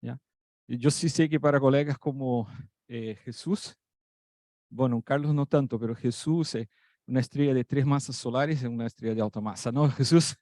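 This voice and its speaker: A man's voice: medium pitch, moderate pace, mellow, no room sound, clean studio sound. A man whose first language is Portuguese, speaking with a Portuguese accent as guest speaker at an academic talk. He talks casually, with many fillers and self-corrections. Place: lecture room.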